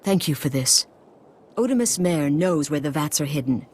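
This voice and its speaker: An actor playing a humble, solemn village healer-priest in a video game, formal, courteous and ominous. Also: male voice, a little high, expressive, moderate pace. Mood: solemn urgency. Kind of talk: video game dialogue acting.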